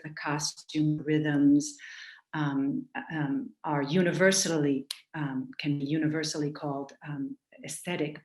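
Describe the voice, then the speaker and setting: A woman dancer speaking as a panel guest, explaining in a measured way with frequent pauses and ums. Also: lowish voice, reverberant, low-quality recording. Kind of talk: panel discussion.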